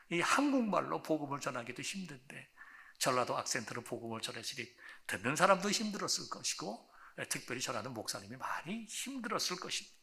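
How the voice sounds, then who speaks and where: A male pastor preaching a sermon, moving from hushed, almost whispered phrases to loud, emphatic declarations and stretching out key words. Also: a little high. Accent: Korean.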